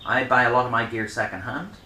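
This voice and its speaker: A man's voice: mid-range voice, fast, sharp tone, a little hiss or hum. A middle-aged man in a casual vlog, rambling and chatty with frequent ums as he shows his camera bags. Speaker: vlogger reviewing camera bags. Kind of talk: casual show-and-tell. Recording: echoey room.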